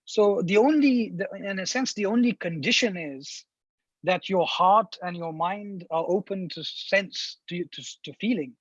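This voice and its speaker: A man's voice: high-pitched, a little slow, no room sound, highs cut off. A man from India, a professor answering seminar questions thoughtfully, with frequent restarts and fillers. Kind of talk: academic seminar talk.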